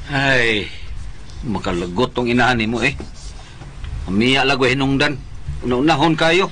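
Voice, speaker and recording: A man's voice: mid-range voice, unhurried, fairly bright tone, a little hiss or hum, close to an everyday voice. A man playing an older, melodramatic and stubborn widowed father in a radio drama, complaining sulkily with a Visayan Filipino accent. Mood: sulky, hurt. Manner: sulky, petulant complaining.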